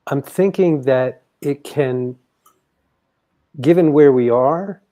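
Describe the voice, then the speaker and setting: A man guiding a counseling conversation as a grief counselor, in a measured, deliberate way. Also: medium pitch, warm tone.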